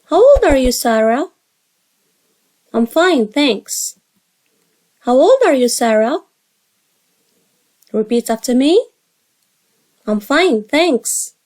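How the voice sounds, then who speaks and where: Female English teacher with a high voice, leading repeat-after-me practice. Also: very animated, darkish tone. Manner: slow, carefully spaced drill reading. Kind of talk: repeat-after-me dialogue drill.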